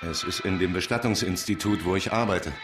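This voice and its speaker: A man's voice: sits low, brisk, distant mic, natural voice. Man playing anxious, gullible fellow over music. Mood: pleading. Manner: anxious, pleading. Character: naive, nervous, pious undertaker's helper, pleading and gullible. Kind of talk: German radio crime drama acting.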